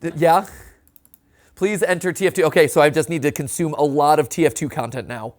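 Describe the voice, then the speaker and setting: A man hosting a reaction show, theorizing casually in an animated, conversational way and often checking in with a tag question. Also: a little high, a little fast. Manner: animated, conversational, often ends points with "right?".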